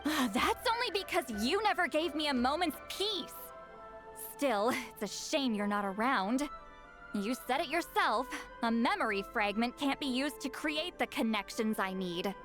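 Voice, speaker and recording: A centuries-old shaman who still sounds youthful. Her voice is high, proud and prickly. She speaks with insistent, dry sarcasm in a video game style, over light music. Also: expressive, a little slow. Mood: bitter, resigned. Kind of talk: video game character acting.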